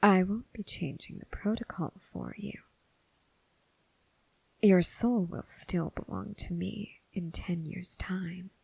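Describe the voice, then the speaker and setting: A woman voicing a seductive, predatory female demon in a teasing, whispered ASMR roleplay, speaking slowly and intimately to the listener. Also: on the low side, darkish tone, dry recording, heavily acted, poor audio. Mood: possessive, seductive. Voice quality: breathy, mostly whispered. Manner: slow, deliberate, teasing, coaxing.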